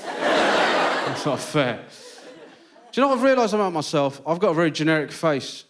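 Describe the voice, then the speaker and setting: A British man doing a stand-up set in a theatre, telling stories with deadpan punchlines. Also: a little high, moderate pace. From Britain. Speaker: stand-up comedian. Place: large theatre with live audience. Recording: stage mic in theatre. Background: loud audience laughter.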